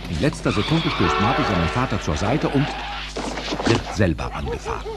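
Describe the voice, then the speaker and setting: A man's voice: on the low side, sing-song, moderate pace, over loud music. A man narrating a scripted audio play in a dramatic storytelling manner.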